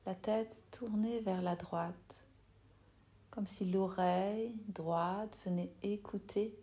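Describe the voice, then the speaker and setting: A woman yoga nidra teacher leading a guided meditation in a soft, soothing, unhurried way with long pauses. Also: medium pitch.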